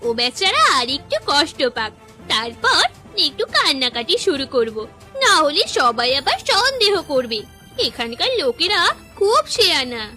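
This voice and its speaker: A woman voicing a scheming, two-faced village wife in a melodramatic cartoon, sharp-tongued and theatrical. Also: sits high, big pitch swings, normal speed, soft background music, exaggerated voice. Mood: cold, gloating.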